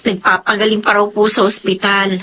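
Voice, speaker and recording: An actress playing an earnest, respectful young adult Filipino daughter, polite and concerned, in a radio drama. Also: female voice, medium pitch, level tone, brisk, a bit bright, a little hiss or hum, close to an everyday voice, rough recording.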